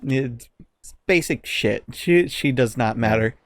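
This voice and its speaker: A man's voice: mid-range voice, expressive, slow, dry recording, studio quality. A man co-hosting a podcast, giving a casual, exasperated and profanity-laced movie review. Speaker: podcast co-host.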